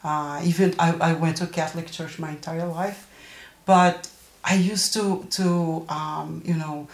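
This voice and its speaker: A woman in her early sixties with a Brazilian accent, giving personal testimony as an interview guest, haltingly searching for words. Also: low-pitched, steady tone. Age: early 60s. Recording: roomy, echoing interview recording.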